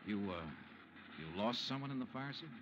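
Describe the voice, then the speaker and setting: An actor playing a shrewd, cool investigator in a vintage radio detective drama, clipped and matter-of-fact. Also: male voice, medium pitch, expressive, normal speed, natural voice. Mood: urgent, probing. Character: brisk, shrewd, cool male insurance investigator. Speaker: lead and narrator. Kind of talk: 1950s-60s radio detective drama acting. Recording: muffled, noisy old radio recording.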